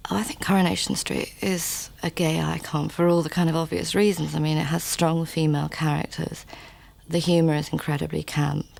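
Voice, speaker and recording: Woman, interviewee, measured, gravelly, very low pitch, background noise. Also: level tone, a little mellow, poor audio. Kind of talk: interview answers.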